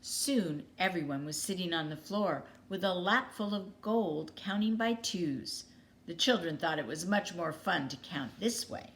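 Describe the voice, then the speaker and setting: A woman reading a children's story aloud expressively, with dramatic pauses and emphasis. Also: lowish voice, lively intonation, a little hiss or hum.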